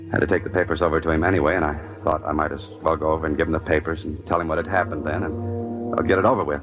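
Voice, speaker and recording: A man playing an earnest, uneasy young office clerk in an old radio drama, hesitant, polite and plain-spoken. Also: very deep voice. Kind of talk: 1940s radio drama acting with first-person narration. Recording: muffled old radio recording with music.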